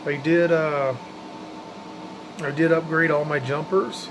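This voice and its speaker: A man's voice: a little high, steady tone, takes it slowly. A man giving a casual, unscripted walkthrough of his hobby setup in a plainspoken, slangy way. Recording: loud hum of fans and room noise.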